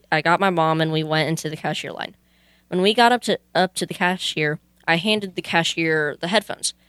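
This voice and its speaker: Low, clear-voiced teenage girl. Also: a bit bright, dry recording. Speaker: youth radio participant. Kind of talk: casual personal storytelling.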